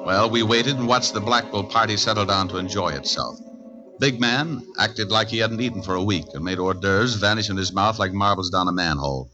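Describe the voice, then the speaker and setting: An actor playing a tough, wisecracking private detective in 1940s radio style, with a wry, slangy delivery. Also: male voice, lowish voice, fairly quick, music in the background, close to an everyday voice. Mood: wry narration. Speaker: lead actor and narrator. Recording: dull vintage 1940s radio recording.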